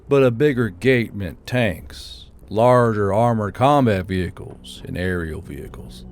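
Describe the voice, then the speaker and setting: A man's voice: mid-range voice, a little mellow, slight background noise. A man reading scripted audiobook fiction as its narrator. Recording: music under the voice.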